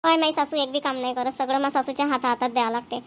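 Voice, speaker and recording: A woman's voice: high voice, fairly even intonation, very fast, close to an everyday voice. A woman playing an overworked, plaintive village housewife in a family cartoon, with a rural Varhadi Marathi accent. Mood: complaining. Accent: Maharashtrian, rural Varhadi Marathi.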